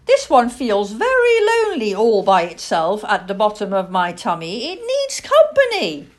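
A woman's voice: on the high side, huge pitch swings, normal speed, pitched above the performer's own voice. A woman voicing an eager, naive little male owl chick with a chirpy, childlike, inquisitive way of talking. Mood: eager, cheeky.

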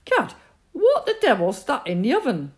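A woman doing a gruff blustering farmer. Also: mid-range voice, animated, moderate pace, darkish tone, pitched above the performer's own voice, lightly acted. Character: gruff middle-aged male farmer, blustering.

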